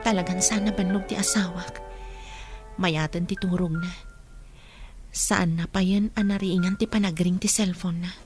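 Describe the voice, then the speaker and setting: A woman playing a devoted, watchful wife in a radio drama, speaking in a soft, emotive way with an Ilocano accent. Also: fairly low pitch, fairly even intonation, normal speed, pauses often, loud music behind the voice, lightly acted. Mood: hushed, suspicious. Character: devoted wife, tender but watchful of husband. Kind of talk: Filipino radio drama acting.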